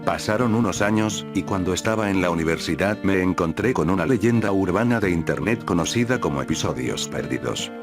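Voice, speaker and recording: A man's voice: low-pitched, animated, fairly quick, few pauses, over music. A man narrating a scripted first-person horror story as its storyteller.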